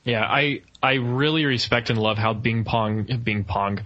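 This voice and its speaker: A man co-hosting a podcast, chatting casually about films in a joking, animated manner with dramatic emphasis. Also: medium pitch, measured pace, dull audio. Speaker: podcast co-host. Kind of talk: casual film review chat.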